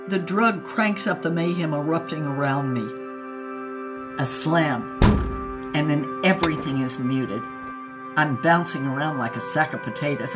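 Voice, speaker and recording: An actress playing a giddy, dreamy woman adventurer, telling her psychedelic tale in dramatic, expressive first person. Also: lowish voice. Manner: theatrical, expressive. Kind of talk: dramatic first-person narration with poetic recitation.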